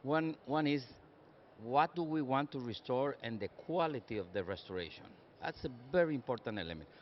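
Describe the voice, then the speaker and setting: A man's voice: medium pitch, expressive, highs cut off, slight background noise. Earnest older Costa Rican man at a conference. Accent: Costa Rican Spanish. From Costa Rica. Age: 60s. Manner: earnest, measured, builds points in lists. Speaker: conference speaker. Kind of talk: conference panel remarks. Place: conference session room.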